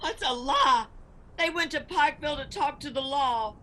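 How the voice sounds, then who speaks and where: High, forceful Appalachian mountain mother. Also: moderate pace, a little hiss or hum. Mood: defensive. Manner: forceful, pleading, accusing. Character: bold, indignant mountain mother confronting an enemy. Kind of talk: radio drama acting.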